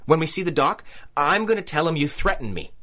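Jumpy, animated employee. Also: male voice, on the high side, fairly quick. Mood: flustered. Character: nervous, wisecracking male clinic employee. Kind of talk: 1930s-style radio drama acting.

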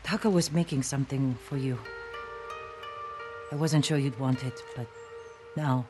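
An actor playing a loyal young fighter in a video game cutscene, speaking in a quiet, earnest way. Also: male voice, mid-range voice, fairly even intonation, a little slow, natural voice. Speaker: game character. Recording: game soundtrack music behind.

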